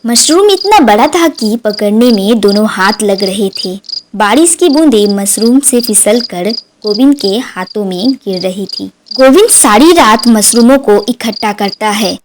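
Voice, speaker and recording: A woman's voice: medium pitch, animated, measured pace, background noise. A woman narrator reading a scripted moral story for a cartoon.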